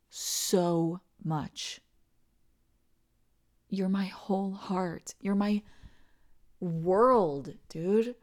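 A woman voicing a tender, devoted young girlfriend in an ASMR roleplay, soft and reassuring and often slipping into whispers. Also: medium pitch, natural voice.

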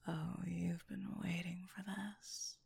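A woman's voice: lowish voice, flat delivery, slow pace, gravelly, darkish tone, slightly rough. A woman whispering breathily in an intimate ASMR-style monologue, with a teasing, commanding and lingering delivery.

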